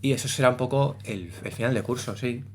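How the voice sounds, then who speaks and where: A man chats casually on a podcast, lively and playful. His voice sits at a middle pitch for a man. He exclaims often and jokes with his co-host. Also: a little fast, slightly rough. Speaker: podcast co-host, theatre director. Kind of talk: casual chat.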